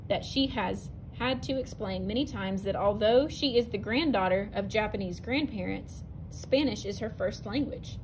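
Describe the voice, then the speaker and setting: A woman teacher reading a narrative poem aloud from a picture book, clear and expressive, with a few small restarts. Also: on the high side, slight background noise, rough recording. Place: indoors, at a table over an open book.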